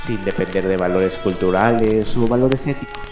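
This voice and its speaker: A man hosting a radio show in character as a 1940s commentator, delivering a halting, reflective monologue full of rhetorical questions. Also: low-pitched. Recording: echoey voice over clear background music. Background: music.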